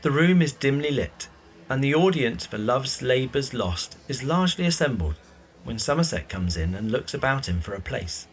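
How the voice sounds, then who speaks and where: A man's voice: medium pitch, expressive, moderate pace. A man reading scripted narration for an audio drama.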